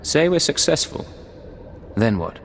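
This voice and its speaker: An actor playing a confident, suave imperial secret agent in a video game, smooth and coolly menacing. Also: male voice, a little high, big pitch swings, a little slow, slight background noise. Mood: dry, confident. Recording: game music behind the voice.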